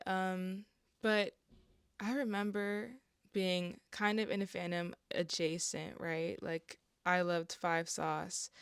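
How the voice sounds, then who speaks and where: A hoarse young woman with a raspy voice, talking casually and candidly to camera on her vlog, full of fillers and swearing. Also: lowish voice, no echo, clean studio sound. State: hoarse from an allergic reaction. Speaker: vlogger.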